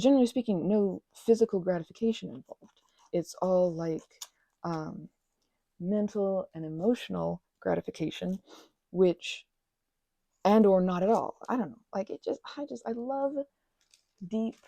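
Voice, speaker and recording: A woman rambles through a soft-spoken crafting chat, eager and full of asides. Her voice is mellow and breathy with a gentle vocal fry. The sound is dry and close to the microphone. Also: mid-range voice, no echo. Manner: chatty, enthusiastic, trails off into restarts and asides. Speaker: ASMR channel host.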